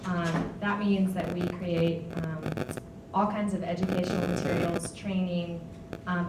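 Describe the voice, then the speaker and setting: A young woman introducing herself on a conference panel, hesitant, with many fillers. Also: lowish voice. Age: young adult. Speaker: panelist, instructional designer. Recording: echoey room, distant mic, noisy.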